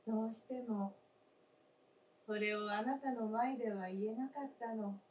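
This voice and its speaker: A gentle young wife returned as a snow figure, played by an actress, speaks very slowly and politely. Her voice is mellow and wistful, in old-fashioned feminine phrasing, heard in a roomy space during a live radio play. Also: a little high, steady tone, lightly acted. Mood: gentle confession. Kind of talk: live staged radio drama acting. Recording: roomy stage, distant mic, faint noise.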